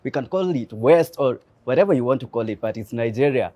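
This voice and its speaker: A man's voice: mid-range voice, fairly quick. A man hosting a casual interview, upbeat and chatty, with frequent murmurs of agreement. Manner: energetic greeting, frequent affirming murmurs. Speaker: interview host.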